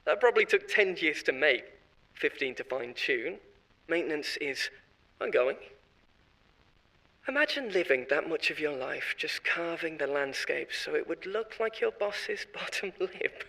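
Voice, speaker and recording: A man's voice: fairly high pitch, animated, unhurried, vocal fry, bright, forceful tone, heavily acted, poor audio. An actor playing a boastful, self-satisfied former corporate consultant who chats on and laughs at his own jokes. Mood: smug, chatty. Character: smug, boastful male corporate insider. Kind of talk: audio drama monologue.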